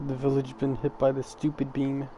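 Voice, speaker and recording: A man's voice: mid-range voice, monotone, normal speed. A man giving short, casual commentary while playing a game. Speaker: gamer commenting on gameplay. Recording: loud background noise, clicks.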